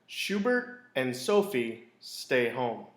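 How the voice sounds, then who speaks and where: A man reading a children's picture book aloud in a deliberate, expressive storytelling way. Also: mid-range voice. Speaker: school read-aloud storyteller. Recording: roomy, echoey indoor sound.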